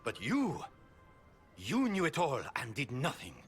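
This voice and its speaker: A man's voice: medium pitch, huge pitch swings, unhurried, a bit bright, soft background music. An actor playing a proud, scheming nobleman in a video game, aristocratic and accusing in manner. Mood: indignant. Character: proud, indignant scheming nobleman, a Grand Duke.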